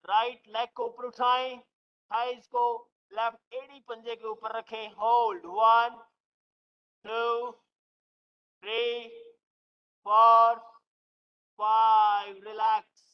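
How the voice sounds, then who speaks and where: Yoga instructor, very animated pitch swings, slow pace, clipped commands, lo-fi online class audio. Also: clean tone. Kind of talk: counted exercise instruction, short commands. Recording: muffled lo-fi online call audio.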